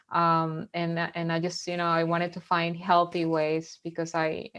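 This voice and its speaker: A woman from Venezuela, a native Spanish speaker with a Venezuelan accent, speaking as a mindfulness facilitator on a live webinar in an earnest, halting way with many restarts. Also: lowish voice, fairly bright tone, clear, resonant tone.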